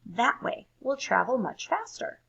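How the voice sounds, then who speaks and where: A woman voicing an eager, excitable schoolboy full of confident schemes in a storybook. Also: on the high side, unhurried, creaky, husky, muffled recording, pitched above the performer's own voice, exaggerated voice.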